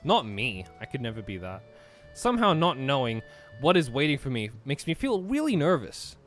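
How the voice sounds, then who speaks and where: A man's voice: mid-range voice, huge pitch swings, moderate pace, fairly bright tone, faint music behind. A man reading a visual novel aloud and chatting casually as he plays. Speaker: player and narrator of a game playthrough.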